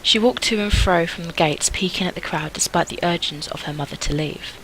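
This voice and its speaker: Bright-voiced woman reading a game. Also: on the low side, brisk, rough recording. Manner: giggly, chatty, self-deprecating. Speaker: gaming video host. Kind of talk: reading a visual novel aloud with casual commentary.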